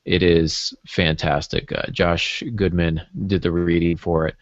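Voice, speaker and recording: A man's voice: deep voice, flat delivery, unhurried, no echo. A man chatting casually as a podcast co-host, with a wry, joking manner. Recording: dull, muffled lo-fi audio.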